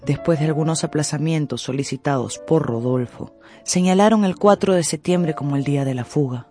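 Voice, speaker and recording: A woman's voice: sits low, fairly quick, warm tone. A woman as narrator, giving a dramatic scripted literary narration for a radio drama. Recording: music under the narration.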